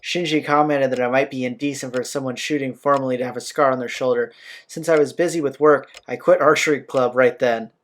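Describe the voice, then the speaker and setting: A man's voice: mid-range voice, steady tone, a little fast. A man hosting a let's play, reading game narration aloud with casual asides. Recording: game music behind the voice.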